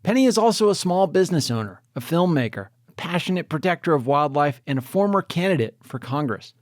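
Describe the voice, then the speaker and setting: A man's voice: on the high side, big pitch swings, brisk, dry recording, clean studio sound. A man hosting a podcast who reads a scripted introduction and then asks plain interview questions. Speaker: podcast host.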